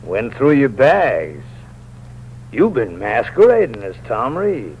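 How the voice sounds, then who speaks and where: A man's voice: medium pitch, huge pitch swings, slow, full character voice. An actor playing a patient, fatherly county sheriff talking to a dying man. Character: steady middle-aged male county sheriff. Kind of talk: 1950s radio drama acting. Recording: dull old radio recording with faint hiss.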